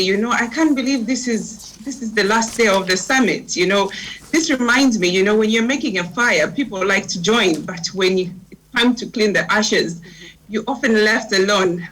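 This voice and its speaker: A woman's voice: medium pitch, a bit bright, poor audio. A woman with a Zambian accent co-hosting a forum in an enthusiastic, chatty, exclamatory way. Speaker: co-host of a forum. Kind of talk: enthusiastic presenting.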